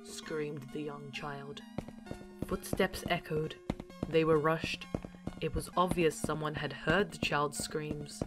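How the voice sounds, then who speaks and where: A woman's voice: low voice, fairly even intonation, takes it slowly, over music. A woman delivering scripted narration as the narrator of an audio series, in a formal storytelling manner.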